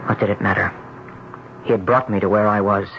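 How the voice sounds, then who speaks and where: A man's voice: fairly low pitch, steady tone, brisk, lightly acted. An actor playing an earnest, troubled young man in an old-time radio drama. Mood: haunted narration. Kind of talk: old-time radio drama acting and first-person narration. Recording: dull old radio recording with music.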